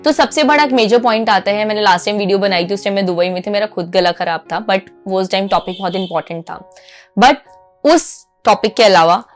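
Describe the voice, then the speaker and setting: A woman speaks as a coach to her viewers. Her voice sits at a middle pitch, and she lays out points emphatically, phrase by phrase. Soft plucked guitar music plays behind her. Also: fairly quick. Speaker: anchor and public speaking coach. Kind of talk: instructional talk to viewers.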